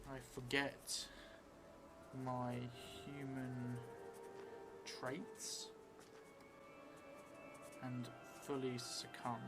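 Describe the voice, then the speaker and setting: Middle-aged male solo game narrator, slow and reflective, piecing the story together aloud, music underneath. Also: medium pitch, little pitch movement. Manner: thoughtful, halting, thinking aloud in short phrases. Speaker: solo role-playing streamer. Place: indoor room set up for streaming, seated at a table.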